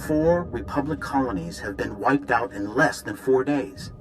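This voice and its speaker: An actor playing a grave, urgent military intelligence director in a video game, briefing in a clipped, earnest way. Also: male voice, medium pitch, normal speed, lightly acted, poor audio. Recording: dramatic music underneath.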